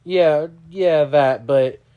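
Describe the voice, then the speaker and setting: A man's voice: a little high, drawn-out words, smooth, narrow, tinny audio. A man, co-hosting a casual sports podcast and exclaiming often. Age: 30s. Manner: excitable, exclaiming. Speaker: podcast co-host.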